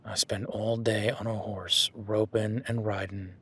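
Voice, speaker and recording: Husky, low-voiced cowboy rancher, played by a man. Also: flat delivery, measured pace, a bit bright, exaggerated voice. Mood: easygoing. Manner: folksy, plainspoken, talks to the listener. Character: easygoing seasoned male cowboy rancher. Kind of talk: audio roleplay.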